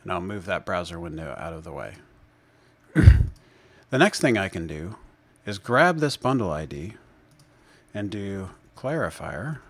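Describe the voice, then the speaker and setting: Male screencast presenter, lower register, measured step-by-step tutorial delivery, unscripted. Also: very animated, fairly bright tone. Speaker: presenter of a software demo.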